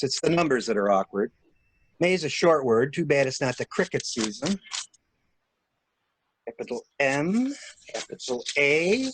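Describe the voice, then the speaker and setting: A man in an amateur mystery drama reading, playing a cool-headed gentleman stranger who briskly and wryly takes charge of a cover-up. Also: fairly high pitch, slight background noise, low-quality recording. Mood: jaunty, absorbed.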